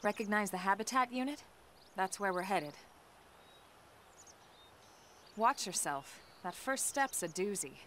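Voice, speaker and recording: A woman's voice: medium pitch, expressive, normal speed, fairly bright tone, a little hiss or hum, natural voice. An actress playing a cool, confident young female soldier in a video game, speaking in a composed, conversational way. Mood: calm, guiding. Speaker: video game character voice actor. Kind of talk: scripted video game cutscene acting. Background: background music.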